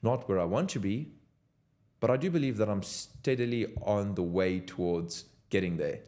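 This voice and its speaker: A man's voice: lowish voice, normal speed, mellow. A young man, talking unscripted to camera from his home desk in an earnest, motivational way. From South Africa. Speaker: content creator. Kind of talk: unscripted talking-head vlog.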